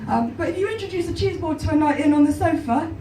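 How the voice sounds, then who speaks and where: A young English woman with a high, croaky, hoarse voice delivers deadpan, self-deprecating stand-up. She is heard from far back in a small club, through its echoey house sound. Audience laughter rolls in between her lines. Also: quick pace, a little hiss or hum. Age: 20s. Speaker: stand-up comedian. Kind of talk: stand-up comedy set. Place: small comedy club stage, live audience. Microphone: handheld stage mic, filmed from far back in the crowd.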